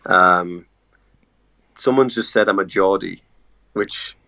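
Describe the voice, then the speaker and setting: A man with a northeast English accent speaks as a journalist guest, his voice low and gravelly. He talks haltingly, with frequent pauses and restarts. The remote call audio sounds dull and lo-fi. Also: sharp tone. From northeast England. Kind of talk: casual remote interview.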